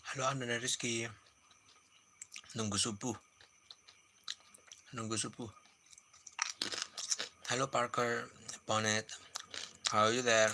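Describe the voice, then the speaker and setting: A man chatting softly on a late-night livestream at home, often searching for his words. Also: medium pitch, level tone, a little slow, long pauses, background noise. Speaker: live streamer. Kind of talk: casual late-night livestream chat with viewers.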